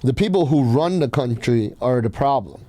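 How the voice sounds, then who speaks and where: A man's voice: mid-range voice, lively intonation, moderate pace, mellow. A man from Iran telling personal stories casually as a podcast host, blunt and swearing freely.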